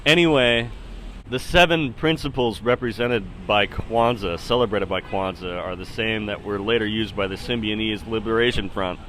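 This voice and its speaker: Low-voiced musing man over background noise. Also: moderate pace, a bit bright. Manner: hesitant, musing, trailing off. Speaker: solo podcast host. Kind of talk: casual rambling spoken commentary.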